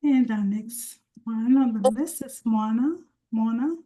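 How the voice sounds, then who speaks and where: A woman moderating a webinar over a video call, introducing each speaker gently and with hesitant fillers. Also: fairly high pitch, slow pace.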